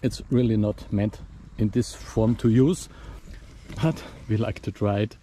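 A man's voice: fairly low pitch, a little slow, mellow, a little hiss or hum. A man with a German accent, a native German speaker, explaining a camp stove step by step outdoors in a forest. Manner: explains step by step, plain simple phrasing. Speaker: bushcraft instructor. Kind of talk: outdoor demonstration vlog.

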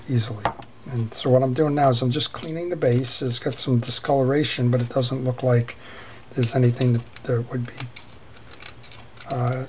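Man, repair tutor at a workshop bench, mid-pitched, unhurried, fillers and restarts; camera mic picks up noticeable background noise. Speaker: fishing reel repairer. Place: workbench in a workshop.

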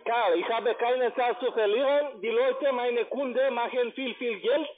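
A man with a foreign accent in non-native German, making a halting, impatient, informal sales call as a call center salesman. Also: very high-pitched, fairly even intonation, soft background music. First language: not German. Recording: muffled, tinny phone line.

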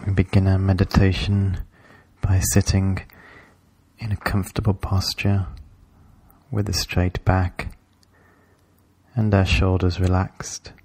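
A man leading a guided meditation in a calm, measured, instructional way, with long pauses between phrases. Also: sits low, no room sound.

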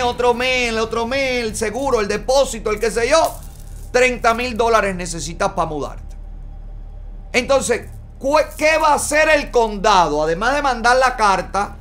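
High-voiced Cuban man, indignant commentary. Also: fairly bright tone. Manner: indignant, rhetorical questions, clipped words. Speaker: host. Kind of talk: opinion commentary. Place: studio with yellow backdrop.

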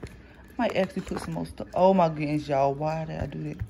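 A woman's voice: low voice, brisk, creaky, warm tone. A young woman, chatting to viewers in a casual phone vlog about her food and her day. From Florida, US. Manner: chatty, talks straight to viewers as y'all. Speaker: vlogger. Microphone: phone camera held at arm's length. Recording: noisy phone audio.

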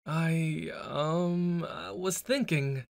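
A man's voice: fairly high pitch, fairly even intonation, very slow, creaky, no room sound, studio quality. A young man performing a boyfriend ASMR roleplay, brash and teasing, stumbling into flustered stammers.

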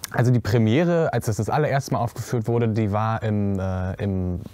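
A man's voice: fairly low pitch, moderate pace, dark tone. A teenage boy on a stage panel, answering with stumbles and repeated words. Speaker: young cast member. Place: stage with curtain, indoors.

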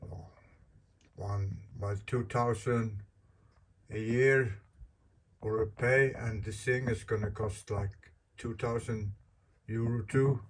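A man in his eighties from Sweden, a solo sailor explaining his boatbuilding in a casual, halting, plain-spoken vlog in his workshop. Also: fairly low pitch, fairly even intonation, a little hiss or hum, rough recording. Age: 80s. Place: large indoor boat workshop.

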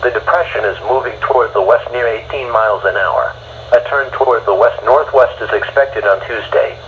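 Synthetic American male voice, medium pitch, formal weather bulletin, flat recitation, lo-fi radio sound, light background noise. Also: moderate pace. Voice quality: synthetic text-to-speech. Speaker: automated weather radio announcer. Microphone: phone held to a radio speaker. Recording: dull radio broadcast re-recorded by phone, faint noise.

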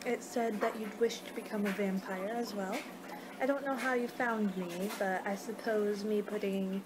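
A woman in her twenties doing a rambling, hesitant ASMR roleplay as a friendly vampire, speaking conversationally. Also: mid-range voice. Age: 20s. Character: friendly young two-hundred-year-old female vampire hosting a guest. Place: small room at home. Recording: noisy home webcam recording.

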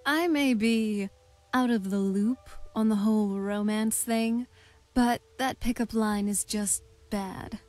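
A woman playing a wistful, shy, dry-witted young vampire woman in halting, intimate video game dialogue. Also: fairly high pitch. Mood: teasing. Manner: halting, soft-spoken, hesitant.

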